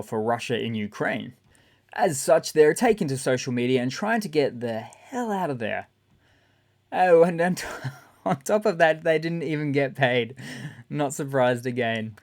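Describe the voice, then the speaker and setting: Raspy guy talking news, chatty and sarcastic, mid pitch. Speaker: channel host. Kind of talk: casual news commentary.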